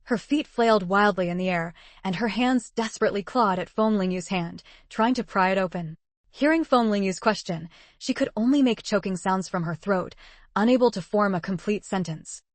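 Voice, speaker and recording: A woman narrating a translated web novel in an even, measured storytelling voice. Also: medium pitch, no echo. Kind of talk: scripted audiobook narration.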